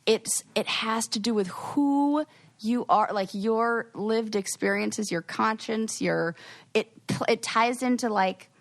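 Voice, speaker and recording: A woman's voice: fairly high pitch, takes it slowly, no room sound. A woman co-hosting a podcast in casual chat who rambles and trails off mid-thought. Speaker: podcast co-host.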